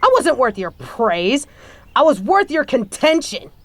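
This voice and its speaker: A woman performs a bitter adult daughter in a scripted audio drama. Her voice is high for a woman. She speaks in a scathing, confrontational way and swears freely as she takes her neglectful stepfather to task. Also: big pitch swings, unhurried, fairly bright tone, exaggerated voice. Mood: accusing, angry. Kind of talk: scripted audio roleplay monologue.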